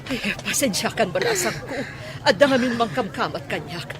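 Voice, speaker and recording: A woman acts out an older Filipino village woman with an Ilocano accent, always ready to protest. Her voice is on the high side and moves with lively swings, in the manner of a comedy radio play. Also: moderate pace, crowd noise, lightly acted. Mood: alarmed, pleading.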